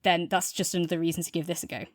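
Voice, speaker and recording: A woman's voice: on the low side, fairly even intonation, very fast, no echo, studio quality. A woman co-hosting a podcast in a casual review chat, enthusiastic and wandering freely between points. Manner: enthusiastic, discursive. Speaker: podcast co-host.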